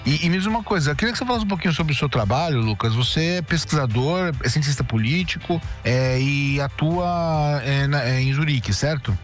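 Halting Brazilian podcast host, a man. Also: a little high, lively intonation, faint music behind. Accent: Brazilian Portuguese. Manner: hesitant, many restarts and fillers. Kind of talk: interviewing a guest.

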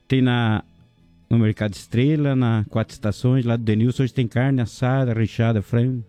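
A man hosting a casual local radio show, jokey and chatty, giving shout-outs to listeners and sponsors. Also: medium pitch, steady tone, normal speed, faint music behind. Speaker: radio host.

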